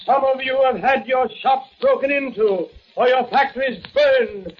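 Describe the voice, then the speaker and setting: An actor playing a middle-aged city mayor who speaks in a formal, measured way, in 1940s radio acting. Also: male voice, very high voice. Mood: solemn. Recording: muffled old radio recording.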